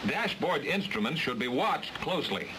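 American guy narrating an old training film, highish voice, lively intonation, measured and instructional, hissy old soundtrack. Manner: measured, instructional, clearly enunciated. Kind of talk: scripted mid-century training film narration. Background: loud hiss.

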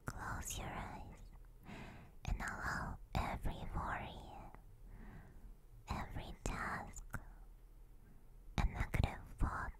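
A woman whispers very slowly and softly, guiding the listener to relax. Her breathy delivery is gentle and soothing, in the manner of an ASMR stream, spoken close into a binaural mic. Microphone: close binaural microphone.

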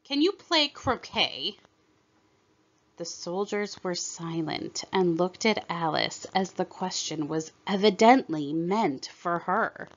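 A woman's voice: fairly low pitch, takes it slowly, few pauses, muffled recording. A woman storyteller reading a scripted children's narration aloud.

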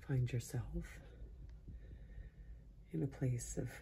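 A man softly guiding a sleep meditation in a hushed, breathy voice that often sinks into a whisper, slow and soothing with long pauses. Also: medium pitch, fairly even intonation, a little hiss or hum. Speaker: meditation guide. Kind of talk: guided sleep meditation, soft-spoken.

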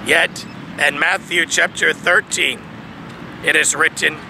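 Preacher, man in his thirties: high, gravelly voice, slow and emphatic, outdoors with audible traffic behind. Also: fairly even intonation. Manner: emphatic, preaching with swells and drops, reads verses aloud. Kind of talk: outdoor sermon reading scripture. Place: large open field outdoors. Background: distant traffic noise.

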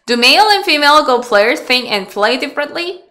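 A Korean woman in her thirties, a Go teacher answering chat questions on a webcam video call, chatty and halting in English with a Korean accent. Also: sits high, animated, bright, forceful tone, a little hiss or hum. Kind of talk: live online lecture Q&A. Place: small room indoors.

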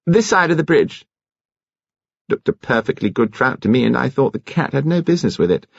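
A man's voice: medium pitch, very animated, brisk, creaky, no room sound, lo-fi sound, pitched above the performer's own voice. A British man voicing a tweedy, brusque, defiant upper-class woman in an audiobook, offhand in manner. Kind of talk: audiobook character acting.

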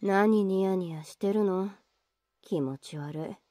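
Tsundere older sister for anime ASMR, played by a woman: unhurried, mellow, scolding yet affectionate, intimate close mic. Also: medium pitch, no echo, close to an everyday voice. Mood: mildly annoyed. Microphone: very close, dry microphone.

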